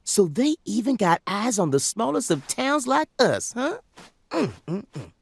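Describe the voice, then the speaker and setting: A man's voice: high voice, very animated, unhurried, vocal fry, breathy edge, full character voice. A man playing a folksy, jovial small-town sheriff in a video game, with a rambling Southern US drawl. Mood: jovial, yielding.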